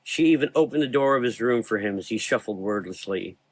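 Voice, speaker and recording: A man's voice: on the low side, expressive, brisk, slightly rough, low-quality recording. A man narrating a scripted gothic horror story as the narrator of an audio drama.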